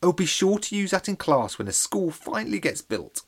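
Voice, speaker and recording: A man's voice: high-pitched, huge pitch swings, slow pace, gravelly, slightly rough, pitched above the performer's own voice, full character voice. A man voicing an admiring village teacher in a storybook, gushing with praise. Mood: fawning.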